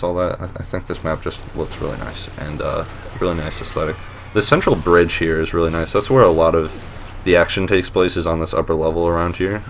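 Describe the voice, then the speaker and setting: A man with a deep voice hosting a casual voice-over commentary on gameplay, relaxed and rambling with lots of fillers and restarts, and a dry, self-mocking humor. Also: slight background noise. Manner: dry, joking self-mockery. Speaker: host of a map showcase series.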